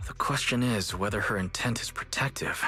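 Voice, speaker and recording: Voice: mid-range voice, a little fast, bright, forceful tone, soft background music, close to an everyday voice. An actor playing a serious, determined investigator in a video game, earnest and analytical. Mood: thoughtful, wary.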